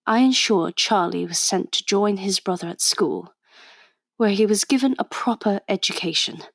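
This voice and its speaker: A woman's voice: medium pitch, normal speed, no echo, close to an everyday voice. A woman playing a witty, stubborn Edwardian undercover agent in a radio drama, British accent, with formal, chatty period phrasing. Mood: confiding, reflective. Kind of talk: radio drama acting and first-person narration.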